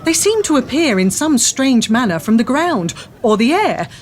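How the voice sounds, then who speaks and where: A woman's voice: sits high, very animated, moderate pace, slight background noise. A woman narrating a story from a script in a dramatic audio drama style.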